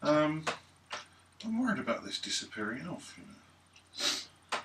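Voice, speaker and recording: A man's voice: fairly high pitch, wildly swooping pitch, slow pace. Man chatting in a noisy, echoey room. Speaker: player in a tabletop role-playing game. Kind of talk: casual in-game banter. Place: echoey room at a gaming table. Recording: echoey room, distant mic, clear noise.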